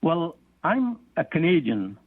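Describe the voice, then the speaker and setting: A man's voice: fairly high pitch, wildly swooping pitch, breathy edge, no echo. Man on a tinny phone line. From Guyana. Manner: measured, explanatory storytelling. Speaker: author and guest. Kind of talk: interview answers.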